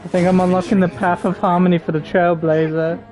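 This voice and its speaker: High-voiced man streaming a video game. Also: level tone, brisk, a little mellow, soft background music. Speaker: game livestreamer. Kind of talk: casual live gameplay commentary.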